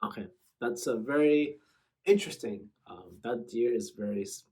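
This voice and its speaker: Man, husky medium-pitched voice, casual slangy host, bantering reaction commentary. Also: moderate pace, dark tone.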